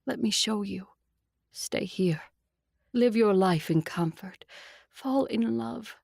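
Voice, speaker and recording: An actress playing a proud, seductive vampire ruler in an audio drama, with a cool, controlled, imperious manner. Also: female voice, mid-range voice, takes it slowly, vocal fry, darkish tone, breathy edge, no echo, studio quality, exaggerated voice. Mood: stern, pleading. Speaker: voice actor.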